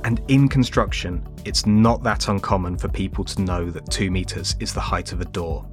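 A man reading scripted documentary narration in a measured way. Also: low-pitched, steady tone, faint music behind.

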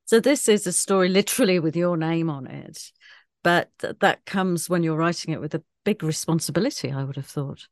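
A radio host putting measured, inquisitive questions to an author guest. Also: medium pitch. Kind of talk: interview questions.

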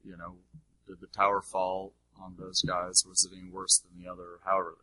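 A man's voice: low voice, completely flat delivery, a little fast, pushed and bright, no echo, low-quality recording. A man teaching in a question-and-answer session, speaking in an informal, discursive way with rhetorical questions.

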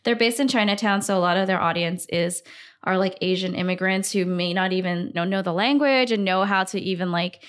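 A woman's voice: medium pitch, a little fast, fairly bright tone, smooth. A woman in her thirties from Singapore, a brand founder talking enthusiastically in a podcast interview. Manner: enthusiastic, upbeat, frequent fillers.

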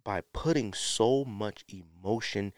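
A man's voice: lowish voice, slow pace, no room sound, clean studio sound. A middle-aged American man hosting a casual solo podcast, talking in a slangy, conversational way and repeating points for emphasis. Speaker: podcast host.

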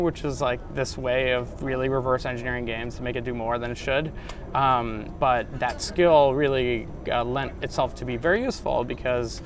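A man's voice: medium pitch, noisy background. A man in his thirties from Canada, a company founder telling his story casually with lots of "like" and "um". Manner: casual, many fillers and likes. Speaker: founder and CEO guest. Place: conference venue.

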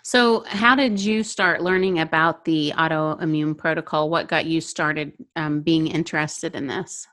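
A woman hosts a podcast interview, asking questions and praising her guest with enthusiasm. Her voice sits slightly low for a woman. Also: fairly even intonation, unhurried, few pauses, fairly bright tone. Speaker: podcast host.

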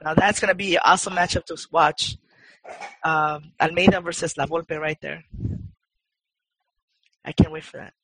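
High, bright-voiced man on a podcast. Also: steady tone, normal speed, pauses often, low-quality recording. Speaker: podcast co-host. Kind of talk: casual sports chat.

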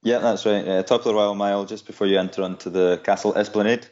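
A man guest explaining how something is made in a measured, informative way, with frequent ums and uhs. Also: lowish voice, fairly even intonation, a little mellow. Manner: informative, measured, with many fillers. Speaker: guest, whisky guide. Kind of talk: casual explanatory chat. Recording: dull lo-fi audio.

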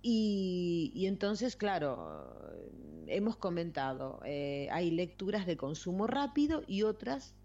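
A woman's voice: lowish voice, very animated, slow, warm tone. A woman with an Argentine accent chatting casually about books as a podcast co-host. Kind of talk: casual book chat. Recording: slightly muffled lo-fi audio.

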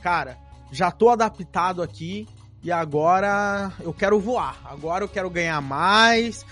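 A Brazilian man hosting an interview, chatting in an animated way with lots of fillers. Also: high-pitched, measured pace, soft background music. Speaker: podcast host. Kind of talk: casual interview chat.

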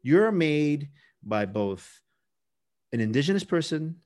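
Halting, casual male podcast co-host. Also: a little high, big pitch swings, darkish tone. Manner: halting, circles back and repeats words while thinking. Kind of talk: casual conversational discussion of folklore.

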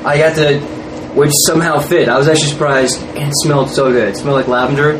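A man's voice: a little high, fairly even intonation, fast. A man, an actor being interviewed, chatting casually in a rambling, hesitant way. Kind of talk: casual chat. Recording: noisy room with air-conditioning hum.

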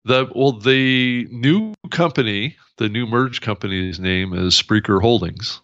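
A deep-voiced man co-hosting a podcast, explaining things in a measured way with plenty of ums. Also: fairly bright tone, dry recording. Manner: measured, filler-heavy explaining. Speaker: podcast co-host. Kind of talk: casual podcast chat. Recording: dull lo-fi audio.

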